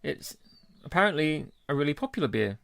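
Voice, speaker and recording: Upbeat man, slightly high voice. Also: sing-song, a little fast, vocal fry, a bit bright, no room sound. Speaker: podcast co-host. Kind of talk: casual chat.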